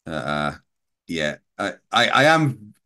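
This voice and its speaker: A middle-aged man co-hosting a casual livestream over a video call, earnest and halting. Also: low voice, big pitch swings, sharp tone. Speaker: co-host.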